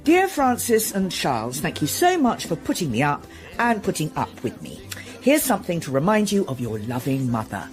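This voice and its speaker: An actress with an English accent playing a dry, teasing, unimpressed middle-aged wife in a radio drama. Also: female voice, low-pitched, wildly swooping pitch, normal speed, over music.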